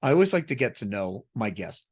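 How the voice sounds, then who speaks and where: A man's voice: on the low side, sing-song, brisk. A man hosting a radio interview show, introducing and questioning his guest.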